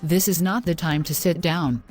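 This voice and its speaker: A woman acting an earnest, lowish-voiced troubled young girlfriend. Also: normal speed, a little mellow, faint music behind, close to an everyday voice. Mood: anxious, distressed. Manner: earnest, formal phrasing. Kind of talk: scripted moral-story dialogue.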